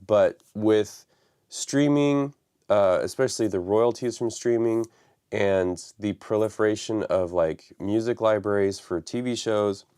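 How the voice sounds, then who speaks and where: A man hosting a live stream from his home studio, raspy from the flu and talking loosely with many fillers. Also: on the low side, measured pace, dark tone. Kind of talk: casual live stream talk.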